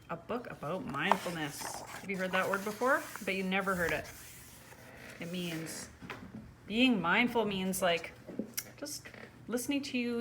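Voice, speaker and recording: Female storyteller, mid-pitched, conversational children's read-aloud with chatty asides; homemade recording with some background noise. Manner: conversational, asks the listener direct questions. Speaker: storyteller reading a picture book to children.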